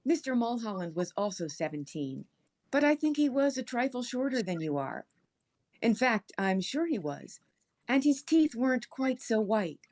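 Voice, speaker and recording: A woman's voice: on the high side, sing-song, moderate pace, darkish tone. Woman acting a gushing, eerily sweet storybook landlady. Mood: gushing, creepy. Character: sweet, doting, eerily cozy middle-aged landlady.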